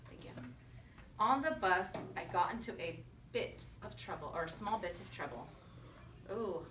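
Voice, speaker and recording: A woman with a bright voice reads a children's picture book aloud as a teacher would. She pauses to ask encouraging questions and echo answers. The recording is roomy, picked up from a distance, with faint noise behind her. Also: mid-range voice, lively intonation. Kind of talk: storybook read-aloud with conversational questions to children. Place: echoey indoor room with children. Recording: echoey room, distant mic, faint noise.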